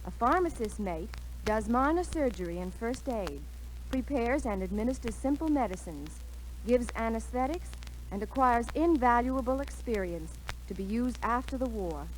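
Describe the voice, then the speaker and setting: A woman's voice: on the high side, expressive, moderate pace. An American woman speaking as a recruitment spokeswoman in a scripted 1940s radio interview. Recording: noisy vintage 1940s radio recording.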